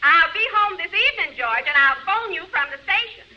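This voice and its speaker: A bossy wife from a 1940s radio comedy, very bright and swooping in pitch. She speaks briskly and firmly through a muffled old recording. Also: animated, heavily acted. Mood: brisk, insistent. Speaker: comic actress. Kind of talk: 1940s radio sitcom acting.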